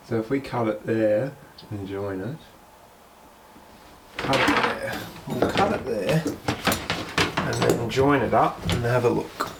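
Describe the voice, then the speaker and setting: Slow, gravelly man with a cold. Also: mid-range voice. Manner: thinks aloud hesitantly while working with his hands. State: sniffly with the flu. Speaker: boat owner doing DIY wiring. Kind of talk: casual vlog narration while working. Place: inside a small sailboat cabin. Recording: noisy background.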